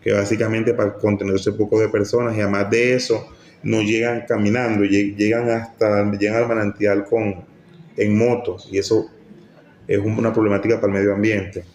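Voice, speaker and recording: A man's voice: fairly low pitch, little pitch movement, moderate pace, a little mellow. A man giving an unscripted interview as a tourism official. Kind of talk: spontaneous interview talk. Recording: echoey room with faint noise.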